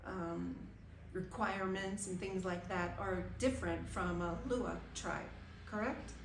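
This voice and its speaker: A woman, interviewing someone with curious, unhurried questions. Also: on the low side, fairly even intonation, echoey room, a little hiss or hum. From United States. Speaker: host asking questions. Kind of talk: casual interview.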